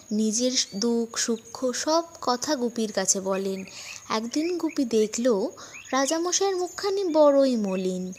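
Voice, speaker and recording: A woman with a fairly high voice tells a children's tale at a very unhurried pace. It is scripted audiobook narration, with clear noise behind her. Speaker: story narrator.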